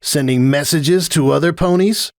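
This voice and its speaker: A man's voice: fairly high pitch, normal speed, no room sound, studio quality, pitched above the performer's own voice, natural voice. A man voicing a brash, jealous young female cartoon pony, sulky and scoffing, in a dramatic story reading. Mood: snide. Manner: scoffing, exclamatory, emphatic. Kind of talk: dramatic fan fiction reading.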